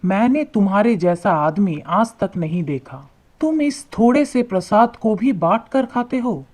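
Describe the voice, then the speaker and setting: A woman's voice: medium pitch, expressive, unhurried, darkish tone. Woman reading as a kind rich merchant guy in a cartoon story, earnest, takes his time. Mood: moved, kind. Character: kindly, curious rich merchant man in moral tale.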